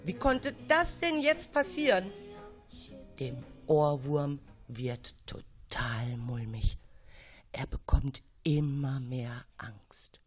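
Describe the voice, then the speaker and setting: A woman's voice: a bass voice, expressive, slow, breathy edge. A woman narrating a children's audio story dramatically and suspensefully, sometimes dropping toward a whisper. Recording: music behind the voice.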